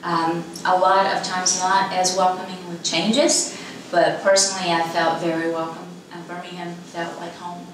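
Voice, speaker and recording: A woman's voice: fairly low pitch, level tone, moderate pace. A woman in her thirties from Brazil, a native Portuguese speaker with a Brazilian accent, chatting in an interview. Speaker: insurance agent. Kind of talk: conversational interview. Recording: echoey room, distant mic, clear background noise.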